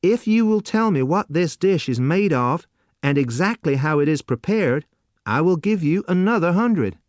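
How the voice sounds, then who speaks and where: A man voicing a naive, eager seventeen-year-old boy who exclaims with wide-eyed excitement. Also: a little high, moderate pace, dry recording, studio quality, pitched above the performer's own voice, natural voice. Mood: puzzled.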